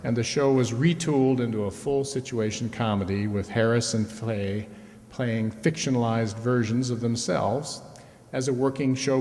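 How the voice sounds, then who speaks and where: A man's voice: medium pitch, darkish tone. A man giving a scripted introduction from the stage as host of a radio players' show. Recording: reverberant hall, live stage.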